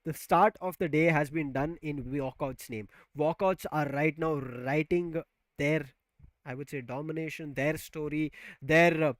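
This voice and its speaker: An excitable male esports caster pumps up the audience over a headset on a remote call. His voice sits a little high for a man. The sound is close and dry, with no room around it. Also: unhurried, dry recording. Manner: excitable, loud, building hype. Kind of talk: live esports commentary.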